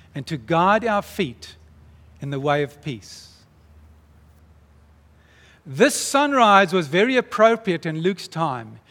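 A man's voice: high voice, a bit bright. A man preaching a sermon to his church in an earnest, emphatic way. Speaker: pastor. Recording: long reverb of a church hall.

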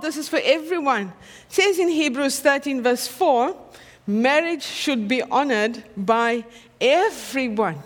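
High-voiced Afrikaans-accented woman preaching. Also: long reverb tail. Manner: conversational, checks in with the audience with tag questions. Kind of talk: sermon.

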